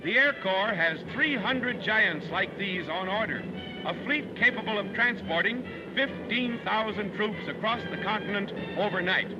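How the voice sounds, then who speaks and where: Male American announcer, high-voiced, 1940s newsreel script, lo-fi archive track. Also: measured pace. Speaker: newsreel narrator. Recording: band-limited 1940s newsreel soundtrack with music. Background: newsreel music.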